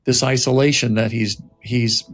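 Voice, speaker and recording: A man speaking as a writer-director and host, deliberate and pausing as he narrates or explains. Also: mid-range voice, steady tone. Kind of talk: scripted narration and reflective talk.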